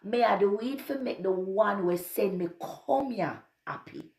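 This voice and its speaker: Jamaican woman, unhurried, in an echoey room. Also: mid-range voice, darkish tone. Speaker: presenter and scripture reader. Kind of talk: channel greeting and scripture reading in Jamaican Patois.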